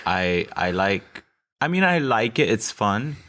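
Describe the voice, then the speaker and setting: A man's voice: fairly low pitch, sing-song, slow pace, fairly bright tone. A man from Mexico co-hosting a podcast in casual chat, rambling through asides and laughing easily. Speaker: podcast co-host.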